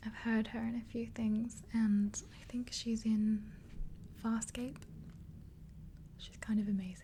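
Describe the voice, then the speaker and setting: Young woman, ASMR roleplay, unhurried, soft dark tone, breathy whisper, even pitch, close mic. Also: a little hiss or hum. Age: 20s. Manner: gentle, unhurried. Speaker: ASMR creator playing a makeup artist. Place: small indoor room. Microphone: close mic, face near camera.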